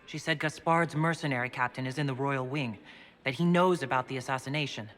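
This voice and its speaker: A woman's voice: rumbling low, brisk. An actress voicing the female leader of a holy order in a video game, speaking directly and inquisitively. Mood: questioning. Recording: game dialogue over loud music.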